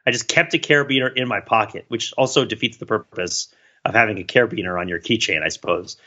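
A man co-hosting a podcast in casual, rambling chat, talking in an animated, self-deprecating and digressive way. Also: on the low side, fast, dull audio. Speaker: podcast co-host.

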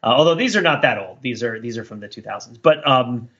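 A man co-hosting a podcast in casual, reflective discussion, thinking aloud in a halting, rambling way with repeated words. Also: medium pitch, animated, a bit bright, slightly rough, highs cut off. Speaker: podcast co-host.

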